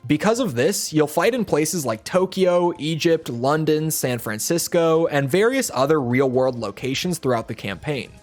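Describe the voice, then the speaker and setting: A man reading a scripted video essay voiceover as a game reviewer, slightly hoarse, explaining in a steady, upbeat way. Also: fairly high pitch, normal speed, hardly any pauses, soft background music. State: slightly hoarse, near losing his voice.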